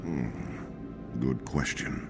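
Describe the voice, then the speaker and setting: A man voicing a large, courteous and grave four-armed alien officer in a video game, speaking in a formal, measured way. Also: very deep voice, in a large hall, over music, exaggerated voice. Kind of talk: video game cutscene acting.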